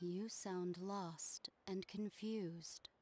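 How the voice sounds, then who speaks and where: A woman playing a mysterious unseen speaker in a video game, calm, cryptic and solemn, with measured, sighing phrasing. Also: on the low side, a little hiss or hum. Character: mysterious disembodied female voice, calm, cryptic, fateful. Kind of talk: dramatic video game character acting.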